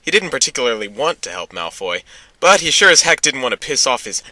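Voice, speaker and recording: A man's voice: medium pitch, big pitch swings, brisk, pushed and bright, no echo. A man narrating an amateur dramatic reading of fan fiction, with casual asides.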